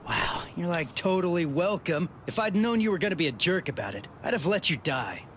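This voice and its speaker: A man's voice: on the high side, very animated, a little fast, a bit bright, slight background noise. An actor playing a cocky, stubborn teenage boy hero in a video game, blunt, casual and sarcastic. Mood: flippant, annoyed. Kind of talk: English video game voice acting.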